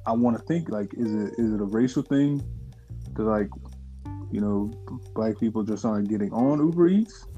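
Mellow-voiced man rambling in casual chat. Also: on the low side, normal speed, lo-fi sound, soft background music. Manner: rambling, many fillers and restarts.

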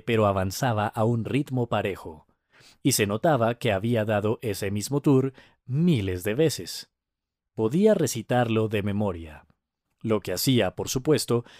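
A man narrating a scripted story for language learners, with careful, deliberate enunciation. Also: fairly low pitch, expressive, darkish tone, no echo, studio quality.

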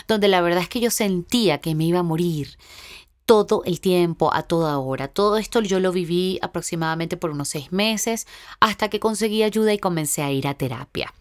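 Woman, podcast host, low voice, heartfelt reflective monologue, dry close sound. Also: dry recording. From Venezuela. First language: Spanish. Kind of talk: personal monologue.

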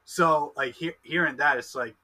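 A young man in his early twenties co-hosts a podcast in a very bright voice that sits a little high. He asks questions eagerly and often trips over his own restarts before getting the thought out. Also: normal speed, slightly rough. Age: 20s. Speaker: podcast co-host, wrestler. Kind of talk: casual podcast interview.

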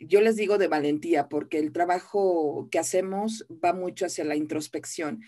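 A woman's voice: lowish voice, moderate pace, dark tone. A Mexican woman who teaches voice acting, speaking earnestly and encouragingly, repeating phrases for emphasis. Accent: Mexican Spanish. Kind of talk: interview guest.

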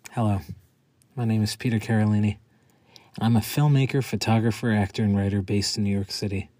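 A man's voice: fairly low pitch, fairly even intonation, fairly quick, warm tone, no echo. A man who is a poet, reading his own prepared words aloud. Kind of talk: scripted introduction and poetry recitation.

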